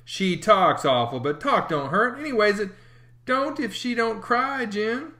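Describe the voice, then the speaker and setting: A mischievous young boy wheedles and bargains, his voice pitched up and bouncing, as a man reading the book puts him on. Lively and persuasive, in a storybook reading. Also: unhurried, exaggerated voice. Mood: wheedling.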